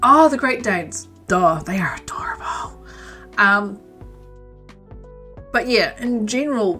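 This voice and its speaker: A woman's voice: mid-range voice, expressive, takes it slowly, pushed and bright, faint music behind. A woman from New Zealand hosting a crochet livestream, chatting casually and rambling, sometimes muttering stitch counts under her breath. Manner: chatty, rambling, reads out and answers chat. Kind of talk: casual chat while crocheting.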